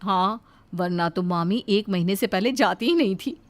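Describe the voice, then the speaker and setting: A woman's voice: mid-range voice, moderate pace, slight background noise, natural voice. A woman playing an exasperated mother-in-law in a moral story. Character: exasperated mother-in-law managing lazy daughters-in-law. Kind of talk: scripted Hindi moral story acting.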